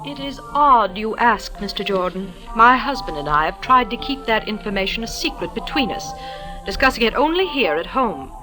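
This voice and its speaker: Actress as a prim, animated radio wife. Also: female voice, mid-range voice, normal speed, lightly acted, rough recording. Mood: thoughtful, concerned. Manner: precise, formal, indignant. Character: prim, proper, indignant married woman. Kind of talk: 1940s radio acting. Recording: old radio recording with music behind.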